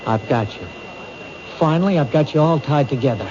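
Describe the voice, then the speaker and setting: An actor playing a determined, protective police detective in a 1970s radio drama, reasoning things out insistently. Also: male voice, fairly high pitch, brisk, a crowd in the background, close to an everyday voice. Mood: triumphant. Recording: dull, noisy 1970s radio recording.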